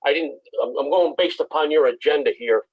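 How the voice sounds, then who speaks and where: A man's voice: a little high, fairly quick, husky. Rambling man on a muffled call. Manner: apologetic, rambling. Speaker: member of the public. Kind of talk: public comment at a meeting. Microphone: video call. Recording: muffled video-call audio.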